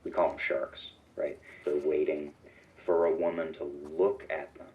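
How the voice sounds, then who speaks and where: Super deep guy talking blunt in an interview, muffled lo-fi audio. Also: flat delivery, normal speed. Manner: blunt, conversational. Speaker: anonymous interviewee. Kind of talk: recorded interview clip.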